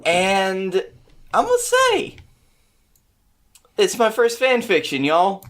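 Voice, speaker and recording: A man's voice: high voice, huge pitch swings, takes it slowly, fairly bright tone. A man guesting on a podcast, talking casually and reading a story aloud, with an informal, self-deprecating way about him.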